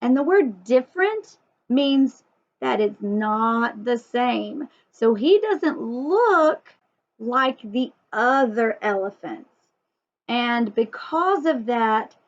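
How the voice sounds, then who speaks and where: A woman teacher leading a story time lesson for young children, asking lots of questions and emphasising key words. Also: high-pitched. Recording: dull lo-fi sound.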